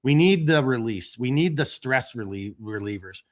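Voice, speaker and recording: A man hosting a casual late-night talk show, rambling and animated, stopping to tell dad jokes. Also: medium pitch, normal speed. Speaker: podcast host.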